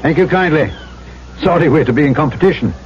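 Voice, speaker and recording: An actor playing an earnest, courteous trader and narrator in an old-time radio drama, with a polite, measured delivery. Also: male voice, fairly high pitch, a little hiss or hum, close to an everyday voice. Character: earnest, courteous male trader newly arrived on island, narrator. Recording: dull old radio recording, music behind.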